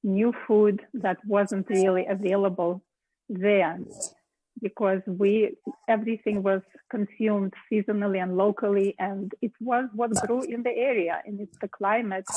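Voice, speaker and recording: A woman's voice: mid-range voice, flat delivery, measured pace, mellow, no room sound, low-quality recording. A middle-aged woman from Latvia, hosting a cooking show and telling her story conversationally, with small hesitations. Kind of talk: casual personal account.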